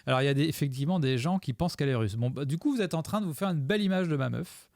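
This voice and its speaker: A man's voice: a little high, expressive, racing, creaky, a little mellow, no room sound, clean studio sound. A man streaming live, commenting on his own gameplay video with a chat, rambling and joking as he thanks his followers.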